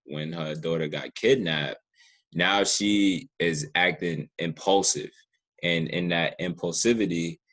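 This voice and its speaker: A man's voice: low voice, fairly bright tone, breathy edge. A man hosting a casual interview, talking informally and searching for words as he shares his thoughts. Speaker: podcast host, interviewer.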